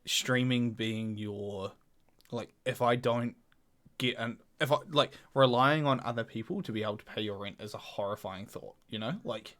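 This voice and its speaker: A young man, co-hosting a podcast in animated, sweary casual chat. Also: medium pitch, moderate pace. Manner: animated, swears, mock voices. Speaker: podcast co-host.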